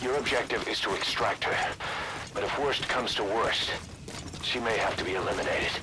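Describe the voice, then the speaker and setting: A man playing a hardened commander in a military video game, low in pitch and unforgiving. The delivery is stern and clipped, full of distrust, with clear aircraft noise behind it. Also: normal speed, natural voice. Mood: cold, resolute. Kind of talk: dramatic video game dialogue.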